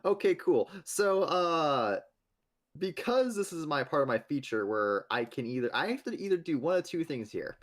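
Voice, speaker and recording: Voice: mid-range voice, very animated, normal speed. A player casually describing moves in a tabletop game.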